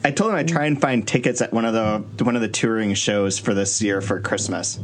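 A man's voice: on the low side, brisk. A middle-aged American man telling casual anecdotes, acting out bits of dialogue as he goes. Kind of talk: casual chat. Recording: clear background noise.

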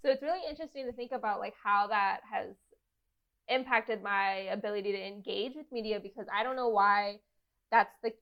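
A woman's voice: mid-range voice, brisk. Chatty woman guest, slightly dull lo-fi audio. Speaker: podcast guest. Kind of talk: casual podcast discussion.

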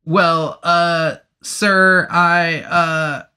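A man's voice: on the high side, level tone, drawn-out words, pushed and bright, clean tone, pitched above the performer's own voice, full character voice. A man doing a stammering, twitchy little soldier. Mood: nervous stammering. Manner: stammering, hesitant, pleading. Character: twitchy, nervous, underfed low-ranking male soldier.

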